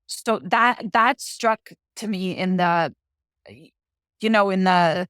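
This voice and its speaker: A woman's voice: medium pitch, slow pace, fairly bright tone, clean tone, no echo. This is a middle-aged woman from Colombia speaking as a guest in an interview. She is reflective and often restarts as she looks for the right words. Her voice sits in a middling register and the recording is dry and close. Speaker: author and guest. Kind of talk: interview conversation.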